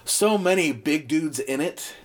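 A man's voice: fairly high pitch, lively intonation, measured pace. A man co-hosting a podcast chat, rambling from one point to the next, dropping fillers and the odd swear. His voice is starting to wear out. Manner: rambling, many fillers and restarts, swears casually. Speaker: podcast co-host. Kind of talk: casual chat.

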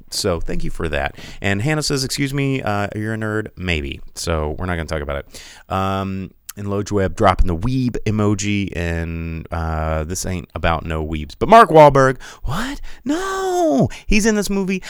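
A man in his thirties rambles through a casual movie chat as a podcast host, with a low voice that swings widely in pitch. He sounds sarcastic and animated, and the sound is smooth and dry, close to the mic. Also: dry recording. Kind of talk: casual live-stream chat about movies.

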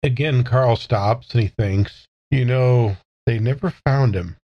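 A man's voice: medium pitch, unhurried, muffled recording. A man talking casually as a player in a tabletop role-playing game, describing and speaking for his character. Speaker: player in an actual play podcast.

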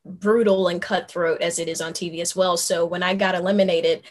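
A young woman from Memphis, a musician guest telling stories in a relaxed chat, with lots of ums and you knows. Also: fairly low pitch, fairly quick. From Memphis, Tennessee, USA. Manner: chatty storyteller with many ums and you knows. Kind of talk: casual interview chat.

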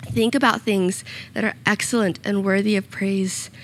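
A young woman speaks with a slightly raspy, gravelly voice. She gives a short sermon in a church room, earnest and personal. A faint hiss sits behind her. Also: medium pitch, steady tone, moderate pace, rough recording. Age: young adult. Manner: earnest and personal, with small self-conscious asides. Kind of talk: sermon with scripture reading and prayer.

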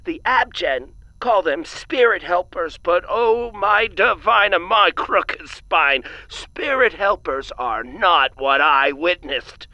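Agitated old maintenance boss in a sci-fi audio drama, slow halting wheezy talk, swoops all over. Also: female voice, lowish voice, animated, bright, forceful tone, no echo, lo-fi sound, full character voice. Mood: alarmed, conspiratorial. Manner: halting, rambling, exclamatory storytelling. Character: quirky, agitated elderly maintenance supervisor on a space station.

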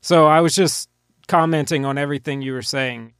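Higher-voiced sarcastic man, dry sound. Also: fairly even intonation, fairly quick, fairly bright tone, clean tone, dry recording. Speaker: podcast co-host. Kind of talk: casual chat.

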